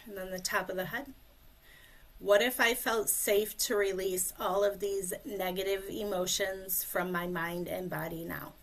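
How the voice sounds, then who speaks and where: A woman speech coach leading a guided tapping session, speaking slowly and calmly in short phrases for a client to repeat, over a video call. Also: medium pitch, poor audio. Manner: slow, calm, leads phrases for repetition.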